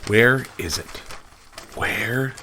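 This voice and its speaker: An actor playing a gruff, rude newspaper editor who mutters and swears to himself. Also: male voice, medium pitch, very slow. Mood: grumbling.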